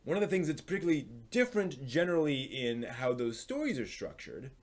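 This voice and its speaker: A man's voice: mid-range voice, very animated, a little fast. A man hosting a live stream, giving opinionated commentary on anime in a rambling, thinking-aloud way.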